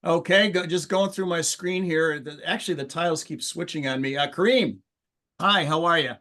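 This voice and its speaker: A man's voice: fairly high pitch, moderate pace, bright, forceful tone. A man hosting an online meeting, greeting people in a friendly, chatty way over a video call. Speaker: meeting host. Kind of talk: casual online meeting chat.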